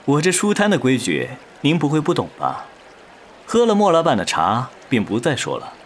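A man's voice: medium pitch, huge pitch swings, moderate pace, slight background noise. A man playing a proud, roguish male demon, devoted and teasing, with dramatic swaggering delivery in an audio drama. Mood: sly, teasing. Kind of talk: Chinese audio drama acting.

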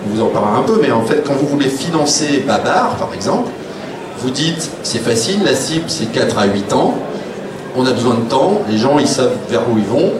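Man, mid-pitched, blunt, wry, anecdotal panel talk, distant roomy live recording, clear noise. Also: very animated, fairly quick. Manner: blunt, wry, acting out quoted remarks. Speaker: author and panel guest. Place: public round table in a room.

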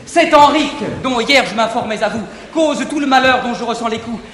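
An anxious young lover. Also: male voice, very high voice, fairly quick, a bit bright. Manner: urgent, breathless verse pleading. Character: anxious young male lover pleading for help. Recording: reverberant 1959 live theatre recording, faint hiss.

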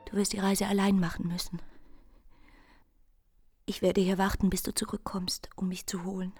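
An actress playing a tender, anxious young bride in a literary radio drama, speaking intimately and often in a breathy hush. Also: female voice, medium pitch, flat delivery, moderate pace, soft background music, natural voice. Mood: anxious foreboding.

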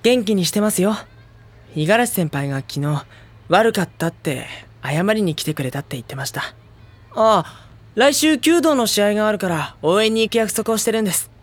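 Soft, reflective schoolboy narrator, played by an actor. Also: medium pitch, expressive, normal speed, natural voice. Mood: light, polite. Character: gentle, reflective teenage schoolboy narrating his club life. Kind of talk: audio drama narration and dialogue.

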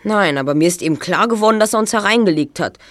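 A woman's voice: medium pitch, lively intonation, fast talker, a bit bright, dry recording, studio quality, lightly acted. A woman playing a brainy, self-reproachful young boy detective in an audio drama. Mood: dismayed, urgent.

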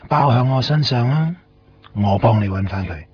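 A man acting a cocky, self-assured finder of lost things in a radio drama, deliberate in manner, with a Hong Kong Cantonese accent. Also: mid-range voice, lively intonation, slight background noise, lightly acted. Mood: cocky, teasing. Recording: dull lo-fi radio recording, music behind.

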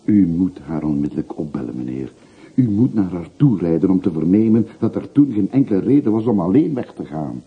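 A man acting in a radio play as a patient, plain-spoken hired porter, measured and deferential while gently prodding. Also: deep voice, big pitch swings, slightly rough, heavily acted. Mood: urging. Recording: muffled phone-like old radio recording, hissy.

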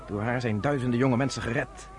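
An actor playing an earnest, self-assured young man of the underground in a Dutch radio play, with a measured way of explaining things. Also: mid-range voice, faint music behind, natural voice.

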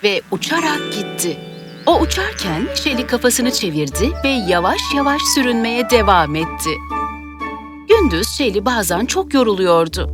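A woman's voice: fairly high pitch, sing-song, normal speed. A woman reading a scripted children's story as its narrator. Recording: music behind the voice. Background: music.

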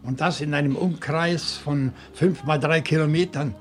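Man acting bookish professor, courteous, precise, deliberate, slightly high male voice, radio crime play, faint music. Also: lightly acted. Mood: earnest, reflective. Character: courteous, bookish professor, a night owl with a dog.

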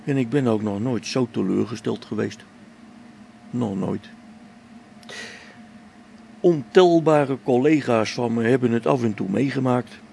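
Radio play: a gravelly male explorer in his middle years, faith shaken, halting delivery dropping to whispers, mid-range voice. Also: medium pitch, a little hiss or hum. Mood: brooding. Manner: brooding, halting, sinks to hushed whispers. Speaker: log-keeping space expedition member.

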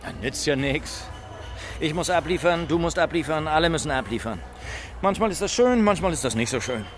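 An actor as an awkwardly jovial man, raised pitch. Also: lively intonation, a little fast, close to an everyday voice. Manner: jovial, platitude-laden small talk. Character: awkward small-talking man flirting with hollow platitudes. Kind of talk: radio play acting.

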